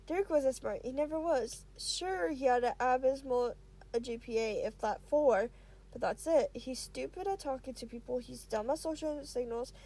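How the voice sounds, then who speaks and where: A woman's voice: high-pitched, normal speed, dark tone, poor audio. A woman reading fan fiction aloud as an amateur narrator, stumbling over words now and then and correcting herself. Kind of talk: expressive storytelling narration.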